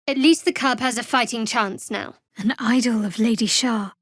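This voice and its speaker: A woman's voice: fairly high pitch, normal speed, dry recording, natural voice. A woman playing a guarded, sardonic half-elf cleric in a fantasy video game, with a dry delivery. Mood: composed, observant.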